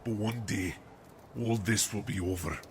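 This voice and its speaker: A man playing a haunted, fatherly older army captain in a dramatic radio play, speaking gravely and deliberately over noisy ambience. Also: lowish voice, natural voice. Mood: haunted, weary. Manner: grave, deliberate storytelling, calls listener "laddie". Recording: noisy amateur audio drama with outdoor ambience.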